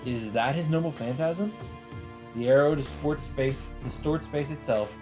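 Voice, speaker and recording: A man's voice: mid-range voice, unhurried. Man narrating dramatically over game music. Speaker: let's play host reading a visual novel aloud. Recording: loud game music behind voice. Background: visual novel soundtrack.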